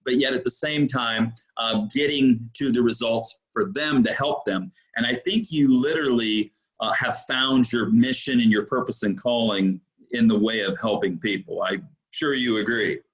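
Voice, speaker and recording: A man's voice: mid-range voice, moderate pace, fairly bright tone, dull audio. A man hosting an interview show, enthusiastic and rambling, with plenty of fillers and restarts. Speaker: podcast host.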